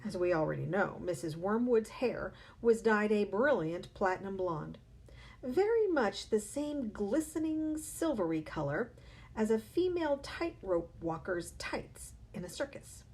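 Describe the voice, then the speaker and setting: A woman, a teacher, reading a children's novel aloud with expressive storytelling. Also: medium pitch, a little slow.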